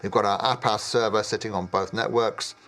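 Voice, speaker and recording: A man in his fifties presenting product training in a measured, explanatory way with some hesitation. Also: on the low side, fairly even intonation, fairly bright tone. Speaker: technical trainer.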